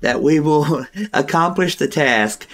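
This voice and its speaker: Hoarse gravelly gaming host. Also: male voice, fairly high pitch, slow, fairly bright tone. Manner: chatty, rambling, laughs now and then. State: hoarse, recovering from strep throat. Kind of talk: casual gameplay commentary.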